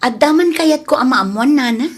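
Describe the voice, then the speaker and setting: A woman's voice: high voice, lively intonation, normal speed. An actress with a Filipino accent playing a stubborn, scheming female landowner in an Ilocano radio drama. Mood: probing, coaxing. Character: scheming money-minded female cousin and landowner, stubborn daughter.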